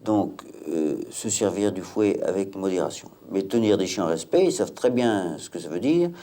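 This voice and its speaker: A man from Maine-et-Loire, master of a hunting pack, explaining things at a measured pace with frequent hesitations in a documentary interview. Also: low-pitched, animated, warm tone. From Maine-et-Loire, France.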